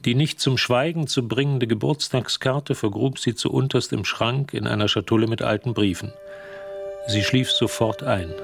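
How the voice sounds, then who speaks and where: An actor narrating a radio drama as a detached, measured literary storyteller. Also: male voice, medium pitch, creaky, breathy edge, faint music behind.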